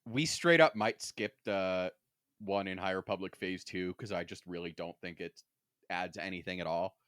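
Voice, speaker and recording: Male podcast co-host, slightly low voice, opinionated explainer, casual delivery, very dry recording. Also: moderate pace, fairly bright tone, smooth, dry recording.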